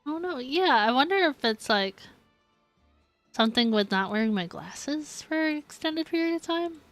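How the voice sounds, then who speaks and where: A woman's voice: high-pitched, animated, moderate pace, faint music behind. A woman livestreaming a game and reacting casually, giggly and gushing. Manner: giggly, gushing over cute things. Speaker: game streamer.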